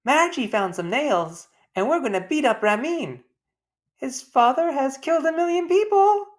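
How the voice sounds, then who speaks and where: A woman voicing an eager, outspoken little schoolgirl in a storybook, exclaiming excitably. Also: sits high, normal speed, voice pushed up. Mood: excited, vengeful.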